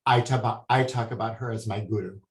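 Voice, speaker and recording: A man from Hawaii, a guest speaking about healing in a soft, hesitant way with many pauses. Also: fairly low pitch, steady tone. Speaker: guest, spiritual healer. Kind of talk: casual interview talk. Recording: echoey room.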